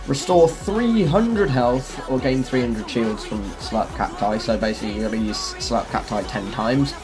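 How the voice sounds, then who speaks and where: A man's voice: medium pitch, normal speed, rough recording. A man hosting a gaming video, giving casual, chatty guide commentary and explaining as he goes. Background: music.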